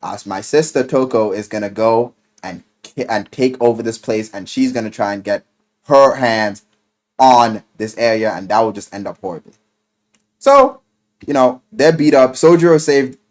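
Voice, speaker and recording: A man giving an animated, casual video game review as a vlogger, with excitable, slangy, profane delivery. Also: medium pitch, moderate pace.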